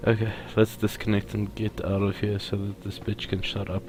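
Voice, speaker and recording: A man's voice: on the low side, completely flat delivery, normal speed. A man giving casual let's play commentary, reading text aloud and stumbling over technical words. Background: faint video game music.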